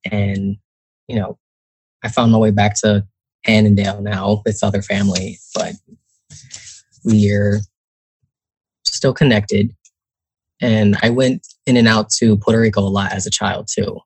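A man's voice: on the low side, level tone, unhurried, pauses often, slightly rough, no room sound, rough recording. A young man from New Jersey, a guest author, chatting casually with many fillers and acted-out quotes. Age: young adult. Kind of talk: casual interview talk.

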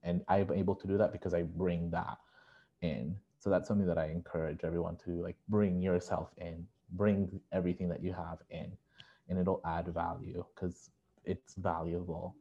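A man's voice: sits low, level tone, normal speed, vocal fry, husky. A man speaking as a podcast guest in a reflective, casual way, full of "like" fillers, over remote call audio. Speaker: guest panelist. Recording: dull muffled audio.

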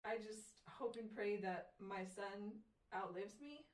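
A woman's voice: mid-range voice, slow. Heartfelt woman, distant and roomy. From Samoa. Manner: emotional, heartfelt. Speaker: podcast guest. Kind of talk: casual conversation.

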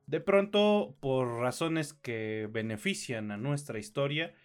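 A man's voice: medium pitch, animated, takes it slowly, clear, resonant tone, faint music behind. A man with a Mexican accent co-hosting a film podcast, reviewing casually in a slangy, grumbling way.

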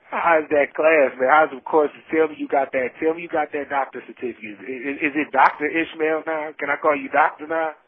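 A man's voice: a little high, fairly even intonation, moderate pace, breathy edge. A man giving heartfelt, preachy testimony in casual conversation, repeating phrases for emphasis. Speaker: incarcerated storyteller. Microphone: phone call. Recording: muffled lo-fi phone call.

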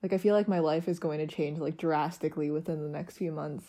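A teenage girl chatting casually with friends on a podcast while lying on her bed, relaxed and rambling. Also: low voice, fast talker. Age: teens. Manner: relaxed, rambling, laughs often. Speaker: podcast co-host. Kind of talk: casual chat among friends.

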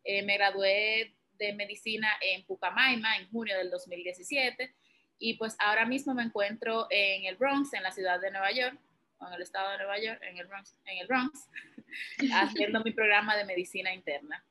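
Bright-voiced young Dominican woman. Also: medium pitch, moderate pace. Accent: Dominican Spanish. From Dominican Republic. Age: young adult. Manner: relaxed, informal, explains step by step with many fillers. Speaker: guest, medical resident. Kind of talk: interview answers.

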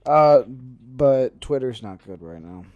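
A man co-hosting a casual nerd-news podcast, talking in a halting way and often stumbling over his words. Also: mid-range voice, expressive, lo-fi sound. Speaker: podcast co-host.

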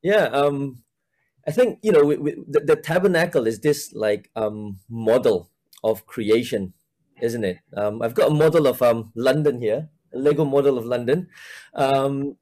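Hesitant man with a mellow voice. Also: medium pitch, unhurried. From Malaysia. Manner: hesitant, many fillers and restarts. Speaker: church minister, guest. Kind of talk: casual interview talk.

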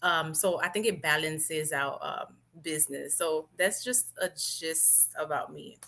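Bright-voiced, composed woman from Miami. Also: sits low, a little slow. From Miami, Florida. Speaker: podcast guest, nurse and business owner. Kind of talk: conversational interview answers.

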